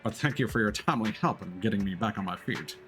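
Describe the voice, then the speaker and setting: A man's voice: fairly low pitch, fast talker, fairly bright tone, faint music behind, pitched below the performer's own voice, natural voice. Man voicing a small, polite robot treasure hunter. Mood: earnest, grateful.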